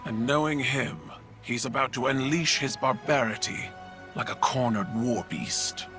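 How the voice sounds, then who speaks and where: An actor playing a proud, honor-bound alien military leader in a game, speaking gravely and formally. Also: male voice, mid-range voice, a little slow, over music, lightly acted. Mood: solemn, defiant. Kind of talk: video game cutscene acting.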